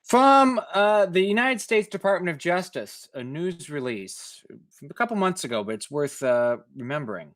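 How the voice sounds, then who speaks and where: A man reads and comments on news stories in an informal, measured way. His voice sits a little higher than average. The recording is dry, with almost no room sound. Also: very animated, a bit bright, no echo.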